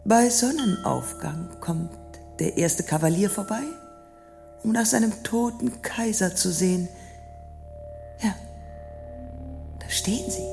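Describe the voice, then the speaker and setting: A woman playing a solemn storyteller in an experimental radio play, theatrical and pausing between phrases. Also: mid-range voice, creaky, a little mellow, heavily acted.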